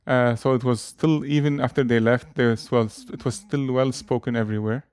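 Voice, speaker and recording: A man's voice: mid-range voice, steady tone, brisk, a little mellow, clear, resonant tone. Chatty man in his thirties. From Lebanon. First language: Arabic and French. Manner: chatty, hesitant, frequent laughter. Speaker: guest. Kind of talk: relaxed conversational interview.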